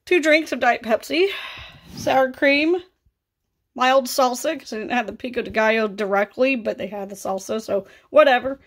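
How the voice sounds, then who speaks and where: A woman in her thirties with a scratchy, raspy voice and vocal fry, chatting casually through a grocery haul in her kitchen. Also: a little high, normal speed. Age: 30s. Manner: chatty and offhand, rising into exclaimed asides. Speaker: vlogger. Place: home kitchen, indoors.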